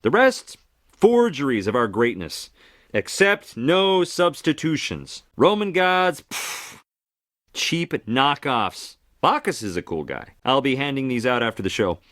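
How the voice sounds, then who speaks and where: A man's voice: mid-range voice, big pitch swings, unhurried, fairly bright tone, dry recording, studio quality. An actor playing a flippant, gossipy messenger god of the Greek underworld in a video game, speaking as a theatrical showman who cheerfully lies to his audience. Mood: boastful. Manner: flippant, showman-like, breaking the fourth wall. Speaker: guide to the underworld.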